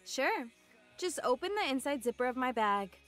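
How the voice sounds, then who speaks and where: High-voiced, brash young woman fighter. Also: big pitch swings, moderate pace, a bit bright. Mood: teasing. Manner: casual, wry, slangy. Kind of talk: video game cutscene acting. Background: music.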